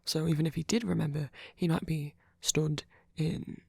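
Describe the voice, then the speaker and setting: A man playing a gentle, doting centuries-old vampire boyfriend in an ASMR roleplay, speaking softly and haltingly, often in a whisper. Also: fairly high pitch, steady tone, no echo, full character voice. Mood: fretting, gentle. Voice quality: soft, breathy, often whispered. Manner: halting, polite, fussing, softly reassuring.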